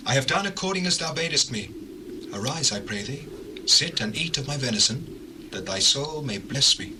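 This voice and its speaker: Man as dutiful deceitful son, cautious, formal, lively intonation, clearly noisy old recording. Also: medium pitch, normal speed, close to an everyday voice. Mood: guarded, deferential. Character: anxious younger son, dutiful yet deceitful. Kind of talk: dramatized scripture reading.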